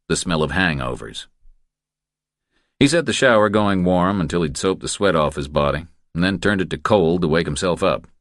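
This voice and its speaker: A man with a low, deep voice narrates a thriller audiobook. The delivery is scripted storytelling, and the recording is dry, with no room sound around the voice. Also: dry recording.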